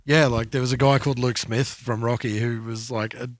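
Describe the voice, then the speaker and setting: A middle-aged Australian man in casual podcast chat, slangy and quick to chime in. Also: medium pitch, little pitch movement, no echo, studio quality. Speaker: podcast co-host.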